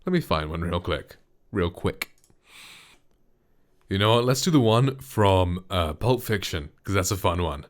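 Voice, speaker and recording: A man with a deep, dark voice talks casually about his gear. His delivery is easygoing and full of loose filler words. He sits close to a studio condenser mic in a home setup. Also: a little slow, long pauses. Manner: relaxed and chatty, lots of loose filler words. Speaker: voice actor and streamer reviewing a microphone. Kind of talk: casual gear review with voice demos. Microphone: close studio condenser microphone.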